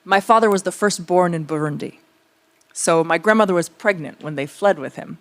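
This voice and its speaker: Reflective woman telling stories on a panel. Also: on the low side. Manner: reflective, searching, pausing and circling back. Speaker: theater artist speaking on a panel. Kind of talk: conversational storytelling at a public talk.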